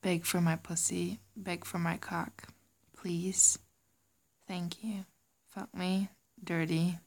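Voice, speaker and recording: A young German woman with a light German accent, chatting casually and giggly, often slipping into a soft whisper. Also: on the low side, flat delivery, slow pace. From Germany, raised in Singapore. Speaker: audio creator. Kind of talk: casual chat reading word lists.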